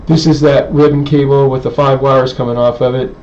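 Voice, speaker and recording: A man presents a hands-on electronics how-to without a script. His pitch is middling and his delivery hesitant, as if working out each sentence while he goes. The sound is dull and lo-fi, with clear background noise. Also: little pitch movement, darkish tone. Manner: halting, hesitant, thinking aloud. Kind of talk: unscripted technical demonstration. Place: indoor electronics workbench.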